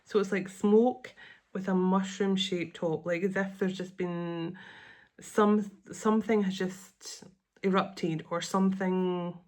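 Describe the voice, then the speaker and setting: Woman, middle-aged, stuffy-nosed, lowish voice; murmured invocations, rambling chat with restarts. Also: steady tone, slow pace. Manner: soft invocations, rambling chatty asides with restarts. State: sniffly and congested. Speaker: spiritual channeler and tarot reader. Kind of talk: casual spiritual channeling video.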